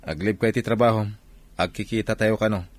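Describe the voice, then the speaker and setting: A man's voice: lowish voice, steady tone, brisk, natural voice. A man playing an earnest, protective elder brother in a Philippine radio drama. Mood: matter-of-fact.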